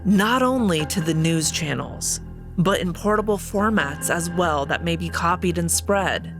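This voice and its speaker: A woman voicing a calm, stoic female wolf who is sister to the emperor, speaking in a measured way. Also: fairly low pitch, over music. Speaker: guest voice actor.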